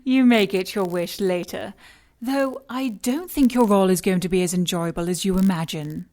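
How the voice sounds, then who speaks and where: An actress playing a cold, seductive scientist in an audio drama, speaking with clinical precision and teasing condescension. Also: female voice, medium pitch, moderate pace, mellow, lightly acted. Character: cold, mocking, seductive female scientist in charge of experiments. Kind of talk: audio drama acting, sci-fi noir.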